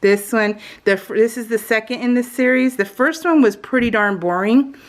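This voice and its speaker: A woman's voice: a little high, normal speed. A woman chatting casually while crafting on her vlog, rambling off on tangents in a jokey, self-deprecating way. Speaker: craft vlogger.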